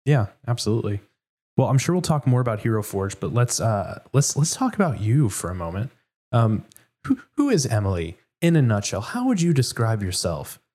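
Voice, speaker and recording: A man's voice: medium pitch, sing-song, moderate pace, darkish tone, slightly rough. A man from Houston hosting a casual interview, rambling with lots of fillers. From Houston, Texas. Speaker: host.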